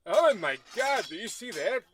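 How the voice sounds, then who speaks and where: A man's voice: high voice, sing-song, moderate pace, background noise. A man playing a cheery, folksy shopkeeper in a comedy radio play, gawking at the action. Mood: amazed.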